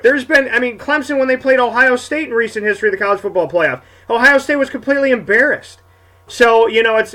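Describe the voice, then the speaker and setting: A man's voice: high voice, sharp tone. A man hosting a sports show, giving opinionated commentary in an emphatic, argumentative way and repeating points for effect.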